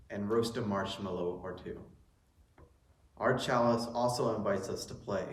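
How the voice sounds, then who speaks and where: A man with a somewhat low voice reads a short liturgical passage calmly and steadily. The sanctuary gives his words a noticeable echo. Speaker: worship participant lighting the chalice. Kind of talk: reading a chalice lighting.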